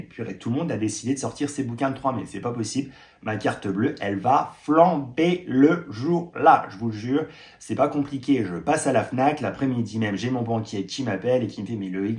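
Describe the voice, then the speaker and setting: A young man vlogging about upcoming books, chatty and playful, reading blurbs aloud and adding joking asides. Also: lowish voice. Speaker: book vlogger. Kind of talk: casual book review, reading blurbs aloud. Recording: echoey room.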